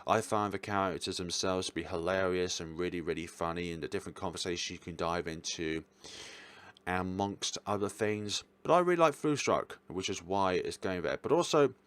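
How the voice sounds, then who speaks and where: A man's voice: low-pitched, normal speed, fairly bright tone, clean tone. A man giving casual tier-list commentary as a video host, talking in an informal, rambling way and correcting himself as he goes. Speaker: video host reviewing games.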